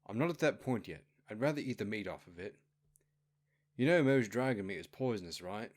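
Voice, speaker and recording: A man's voice: on the low side, expressive, fairly quick. A man voicing a hungry, bickering royal guard in a fantasy story, casual and grumbling.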